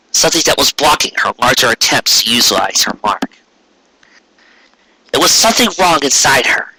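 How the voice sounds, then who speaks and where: Forceful, husky male storyteller. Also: mid-range voice, no room sound, low-quality recording. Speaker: story narrator. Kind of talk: scripted fan fiction storytelling.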